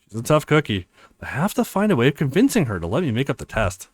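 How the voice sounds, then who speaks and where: A man's voice: mid-range voice, sing-song, fast talker, no room sound, studio quality. A man voicing an earnest, reassuring young male college student in a visual novel, coaxing and confident. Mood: weary, resolved.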